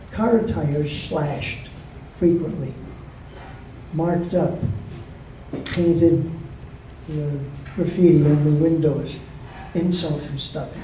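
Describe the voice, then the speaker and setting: Slow, dark-voiced older man in a roomy hall. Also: on the high side, a little hiss or hum. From Michigan, USA. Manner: slow and measured, with frequent pauses and fillers. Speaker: guest speaker. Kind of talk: informal talk to a club audience. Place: meeting room. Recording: roomy echo, heard from a distance.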